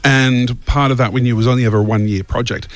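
A man's voice: medium pitch, fairly even intonation, fast. An Australian man chatting casually as a guest in a radio studio interview, telling stories with self-mocking humour. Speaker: radio show guest, audio comedy writer.

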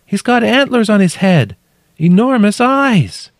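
A man voicing an injured woman trapped in a cave, calling out in short, halting, awestruck phrases for an audiobook story. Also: mid-range voice, big pitch swings, no room sound, studio quality, voice pushed up. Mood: dazed wonder.

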